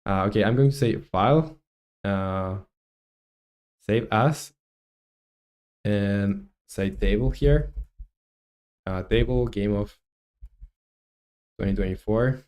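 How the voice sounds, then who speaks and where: A man's voice: lowish voice, slow, long pauses, a little mellow. A young man from Chile in his twenties, chatting casually while he works on a game and reads chat aloud, with lots of fillers and restarts. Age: 20s. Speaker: game dev streamer.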